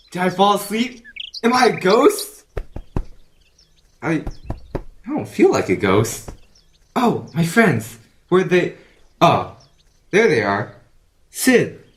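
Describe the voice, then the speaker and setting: A performer playing a young boy turned ghost in an audio drama, earnest, expressive and pleading. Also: mid-range voice, slow pace, long pauses, a little hiss or hum. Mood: bewildered.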